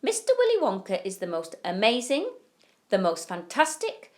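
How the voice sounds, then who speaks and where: A woman's voice: medium pitch, wildly swooping pitch, normal speed, pitched above the performer's own voice. A fond old grandfather from a children's storybook, read by a woman. He is past ninety and speaks with great enthusiasm and emphasis. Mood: fond, enthusiastic. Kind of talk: children's storybook character reading.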